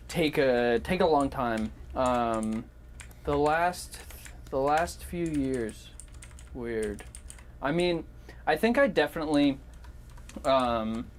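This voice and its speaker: A man chatting casually in a halting, informal way, often trailing off as he thinks. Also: medium pitch, slight background noise. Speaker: online video creator and performer. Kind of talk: casual podcast chat.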